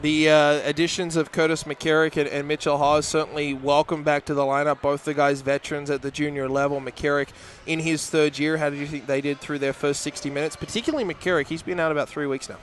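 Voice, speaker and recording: A man's voice: fairly high pitch, flat delivery, brisk, few pauses, slight background noise. A man in his thirties, a team broadcaster interviewing a coach after a game at an indoor rink on a headset mic. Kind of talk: postgame interview.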